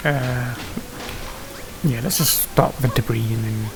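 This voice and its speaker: A man giving casual gameplay commentary, thinking aloud in a halting mutter. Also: medium pitch, steady tone, music in the background, rough recording. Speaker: gaming video host. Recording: hissy home recording, background noise.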